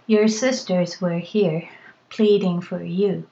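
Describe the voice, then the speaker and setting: A woman's voice: on the low side, steady tone, slow pace, vocal fry, dull audio. A woman voicing a sly, unflustered old witch in a read-aloud story, speaking dryly and matter-of-factly. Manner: dry, matter-of-fact, quietly knowing.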